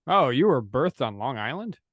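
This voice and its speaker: A man co-hosting a podcast with a slightly rough voice, chatting in a playful, joking way. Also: mid-range voice, sing-song, normal speed, fairly bright tone, dry recording, clean studio sound. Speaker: podcast co-host. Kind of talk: casual podcast chat.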